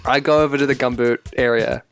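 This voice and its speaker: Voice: medium pitch, steady tone, fast talker, faint music behind. A New player chatting casually and improvising in a tabletop role-playing game.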